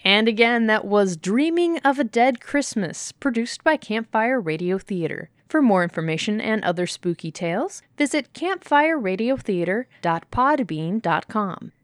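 A woman's voice: on the high side, lively intonation, measured pace, hardly any pauses, dry recording. A woman hosting a radio drama show, closing the episode with friendly announcements. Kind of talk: scripted outro.

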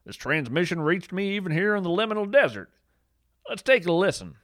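A man tells a strange nature story in a scripted, playful way, with a wry edge to it. His pitch moves freely and with plenty of life. The recording is dry and close, with no room sound. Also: big pitch swings, brisk, fairly bright tone, dry recording. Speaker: podcast narrator. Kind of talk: scripted whimsical nature narration.

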